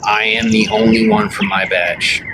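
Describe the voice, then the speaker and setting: A man's voice: on the low side, steady tone, a little slow. A man talking in a meandering personal monologue, earnest and insistent, with many fillers and restarts. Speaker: vlogger. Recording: clear background noise with birdsong and passing traffic.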